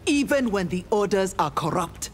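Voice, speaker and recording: A woman's voice: medium pitch, lively intonation, moderate pace, faint music behind, lightly acted. A woman voicing an earnest, principled female warrior in a video game, speaking in a stern, reproachful way.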